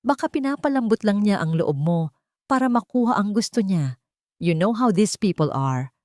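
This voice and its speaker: A woman's voice: medium pitch, lively intonation, normal speed, a little mellow, no echo, clean studio sound, natural voice. A woman with a Filipino accent voicing an elegant, scheming rich woman with condescending false concern in a narrated story. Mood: feigned concern. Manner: code-switching, condescending.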